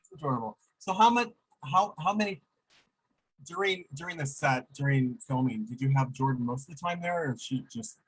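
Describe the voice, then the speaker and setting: A man's voice: medium pitch, expressive, moderate pace, husky, poor audio. A man hosting an interview over a video call, asking questions hesitantly and restarting them often. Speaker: host.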